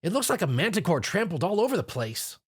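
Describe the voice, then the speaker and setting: A brash, sporty pegasus mare from a storybook reading, played by a man. Her voice is pitched high with lively swings. She talks bluntly and scoffs. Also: fast talker, slightly rough, heavily acted.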